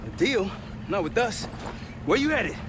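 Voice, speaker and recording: An actor playing a steady, defiant special-operations agent in a game, with measured, clipped military phrasing. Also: male voice, high voice, noisy background. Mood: commanding. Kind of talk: cinematic video game acting.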